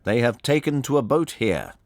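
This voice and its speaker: A shrewd gentleman detective from a storybook, as a male narrator plays him, courteous and smooth-talking. His delivery is measured but widely inflected, recorded very dry. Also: mid-range voice, sing-song, no room sound, voice pushed up. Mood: grim. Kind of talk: audiobook character voice.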